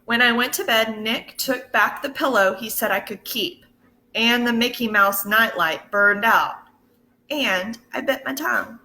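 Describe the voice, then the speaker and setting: A woman teacher reading a picture book aloud to children in an expressive, deliberate way, pausing to put questions to her listeners. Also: on the high side. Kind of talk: storybook read-aloud.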